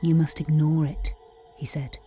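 Wry female hotelier, lowish voice, conversational audio drama storytelling, music behind. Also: flat delivery, measured pace, warm tone. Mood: wry, eerie. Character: wry woman running a remote lighthouse hotel.

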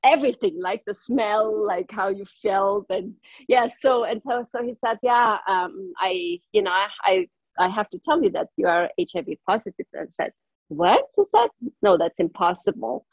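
A woman's voice: medium pitch, lively intonation, normal speed, breathy edge, dry recording. German-accented woman, dull dry sound. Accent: German-speaking northern Italian. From northern Italy. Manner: hesitant, many ums, laughs between lines. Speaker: guest. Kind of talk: casual interview.

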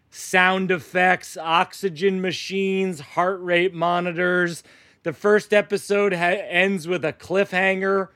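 A man hosting a casual podcast chat with another comedian, telling jokes in a dry, deliberate way. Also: sits high, a bit bright, no room sound.

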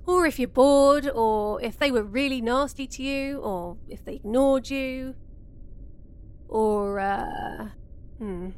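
A woman's voice: on the high side, clean tone. A woman playing a sarcastic, easygoing, foul-mouthed spaceship show host, rambling and hesitant in an audio drama comedy. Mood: rambling, offhand.

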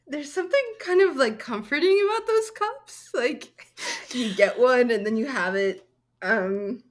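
A woman's voice: fairly high pitch, animated, measured pace. A young woman co-hosting a podcast, rambling playfully through a silly idea in casual chat. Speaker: podcast co-host.

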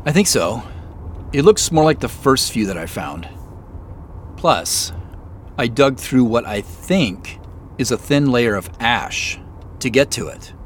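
Animated, easygoing amateur sleuth. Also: male voice, mid-range voice, takes it slowly, pauses often. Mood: guarded, thoughtful. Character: inquisitive male amateur sleuth, easygoing, slightly sheepish. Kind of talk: naturalistic audio drama dialogue. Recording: faint vehicle noise behind.

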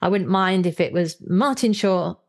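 A woman's voice: fairly low pitch, moderate pace, darkish tone. A woman hosting a chatty book discussion in a playful, enthusiastic manner. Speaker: podcast host and interviewer. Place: remote call.

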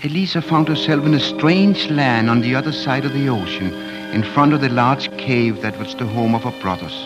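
A man narrating a fairy tale in measured, dramatic 1940s radio storytelling style. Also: mid-range voice. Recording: dull 1940s radio, music behind.

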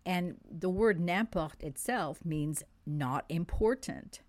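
A woman's voice: lowish voice, slow pace, a little mellow. French-born woman giving a spiritual forecast. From France. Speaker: spiritual teacher. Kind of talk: spiritual monthly forecast talk.

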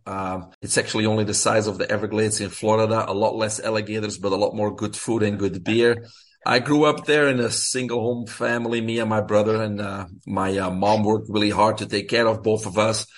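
A middle-aged man from Belgium with a Belgian accent, a guest telling his story in a relaxed way with fillers. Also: on the low side, fairly quick. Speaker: guest, health coach. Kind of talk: casual interview.

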